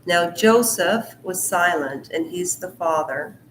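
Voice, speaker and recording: A woman reads from a book at an unhurried, measured pace, her voice sitting a little low. She keeps breaking off into chatty, rambling asides before going back to the text. The room around her is echoey, with a faint hiss underneath. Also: expressive, a bit bright. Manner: rambling asides that interrupt the reading; slow, deliberate delivery. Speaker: channel host reading a book aloud. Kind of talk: book reading mixed with casual commentary.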